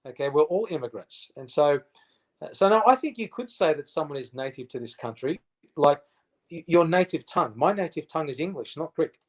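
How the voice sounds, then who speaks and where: A man's voice: fairly high pitch, lively intonation, brisk. A man in his fifties, a guest in a casual interview, who speaks emphatically with many fillers and restarts. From Australia. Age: 50s.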